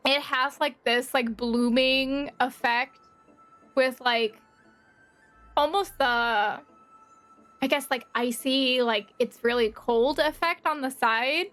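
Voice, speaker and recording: A woman giving casual, expressive playthrough commentary as a game streamer, exclaiming and reading dialogue aloud. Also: sits high. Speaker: streamer reacting to a game story. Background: game music.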